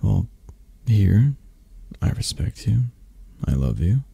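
Casting: tender, possessive mafia lover , acted by a man for ASMR roleplay; dark mellow tone, lively intonation, breathy gravelly murmur. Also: lowish voice, takes it slowly, heavily acted. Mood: tender, loving. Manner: soft, hesitant, murmuring, breathy. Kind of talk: intimate boyfriend-roleplay ASMR.

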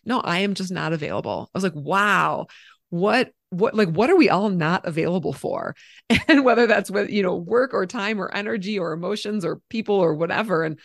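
Woman, younger, animated, gravelly edge, casual interview talk. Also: mid-range voice, dry recording, studio quality. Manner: animated, laughs often, quotes herself. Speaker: podcast guest. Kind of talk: casual conversation.

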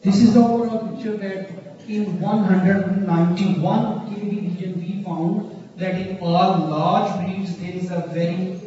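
A man's voice: high voice, fairly even intonation, dark tone. Pakistani man lecturing in an echoey hall. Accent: Pakistani English. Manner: halting, many fillers and counted lists. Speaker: keynote speaker. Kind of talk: conference science talk. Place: large auditorium, at a podium. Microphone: podium mic, recorded from the audience. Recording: roomy hall, distant dull phone recording.

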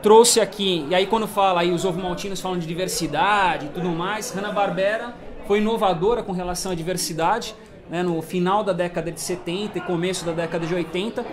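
A man's voice: sits high, fairly even intonation, brisk, crowd noise. A man from Brazil at a collectors' table chat, explaining cartoon history and peppering his talk with tag questions. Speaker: toy collector guest. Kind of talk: casual panel chat. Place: indoor room, seated at a table of toys.

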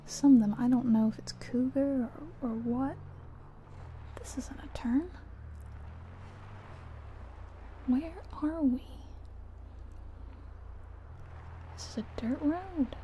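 Woman playing a very dark, whispery soft taxi driver. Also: sits high, unhurried. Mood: curious, hesitant. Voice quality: soft, breathy, often whispered. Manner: gentle, hesitant, murmuring to herself and passenger. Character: friendly, chatty, sleepy-soft female city taxi driver. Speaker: ASMR roleplay performer. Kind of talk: soft-spoken ASMR roleplay. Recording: soft ambient car and rain noise.

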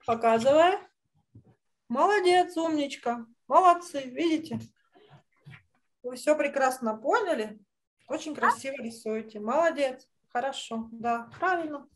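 A woman's voice: high voice, animated, a little slow. A middle-aged woman teaching an online children's drawing lesson, encouraging and fond of affectionate diminutives. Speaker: art teacher. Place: indoor room, on a video call.

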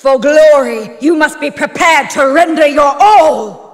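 An actress playing a proud, fierce goddess of war and ice in a fantasy video game, declaiming in a grand, commanding manner. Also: female voice, sits high, measured pace. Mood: fierce, exultant. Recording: long reverberant hall effect.

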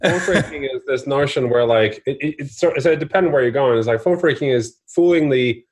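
A man's voice: mid-range voice, brisk, husky. A man in his forties from Norway, chatting casually and rambling self-deprecatingly as an interview guest on a video call. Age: 40s. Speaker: interview guest, startup investor.

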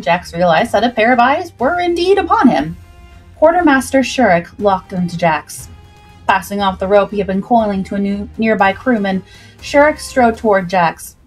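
A young woman reading a scripted story aloud in a dramatic, expressive way. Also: medium pitch, husky, poor audio. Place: indoors at home, on webcam. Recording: music behind the voice.

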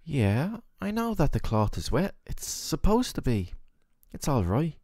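A man's voice: a little high, sing-song, measured pace, darkish tone, dry recording, clean studio sound, full character voice. A man playing a worried, tender boyfriend in an audio roleplay, speaking in a soothing, earnest, affectionate way. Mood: gentle, caring. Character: worried, tender, doting boyfriend caring for his sick partner. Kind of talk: scripted boyfriend roleplay ASMR.

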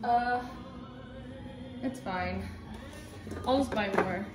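A woman's voice: medium pitch, extremely slow. A young woman and mother chatting casually in a home kitchen, easygoing and practical. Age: young adult. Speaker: mother and streamer. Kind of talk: casual chat. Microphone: far camera. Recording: roomy and distant, music behind.

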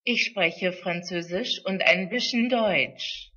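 A woman voicing a slow, breathy student. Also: lowish voice, pushed and bright, highs cut off, full character voice. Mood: careful. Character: young woman student introducing herself. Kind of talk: slow scripted model sentences.

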